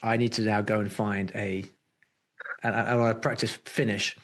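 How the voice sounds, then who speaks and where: A man's voice: fairly low pitch, level tone, moderate pace, fairly bright tone. Chatty male podcast host. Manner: thinks aloud with many restarts and fillers. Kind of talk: casual podcast interview.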